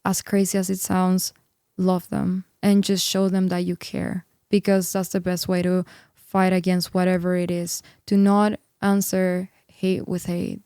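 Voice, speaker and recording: A woman in her twenties from Peru talks as a guest in an earnest, upbeat way. She fills her speech with "like" and "you know." Her voice is smooth and clear, and her tone stays fairly even. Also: lowish voice, a little slow, dry recording, studio quality. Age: 20s. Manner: earnest, upbeat, filler-heavy with many "like"s. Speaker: singer and worship leader guest. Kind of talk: casual interview chat.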